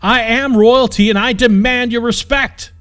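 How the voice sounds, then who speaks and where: Man putting on a whiny spoiled young princess, pitched high. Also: fairly even intonation, unhurried, a bit bright. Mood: indignant rage. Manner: whiny, haughty, insistent. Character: spoiled, headstrong young princess, indignant and pleading.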